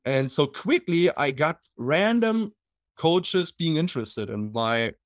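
A middle-aged man with a German accent, speaking as an interview guest. His voice sits a bit high for a man and sounds dry and close, with no room around it. He talks haltingly, with fillers and restarts. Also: animated, dry recording. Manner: hesitant, many fillers and restarts. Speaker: guest, water polo goalie coach. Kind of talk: casual interview.

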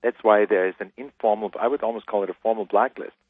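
A man's voice: on the low side, steady tone, fast talker, husky, dry recording. Man on a tinny phone line. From Austria. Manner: emphatic, preaching conviction. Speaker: guest author. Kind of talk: radio interview.

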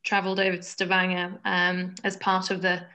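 A woman's voice: fairly low pitch, completely flat delivery, fairly quick. A woman from Norway telling stories of her childhood, chatty, with frequent fillers and laughs, talking on a video call. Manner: warm storytelling with fillers and laughs. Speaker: guest.

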